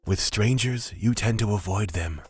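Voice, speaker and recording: A man's voice: fairly low pitch, moderate pace, breathy edge, faint music behind. A man playing a humble, laid-back elf wanderer in a fantasy audio drama, reflective and probing. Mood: frank, challenging.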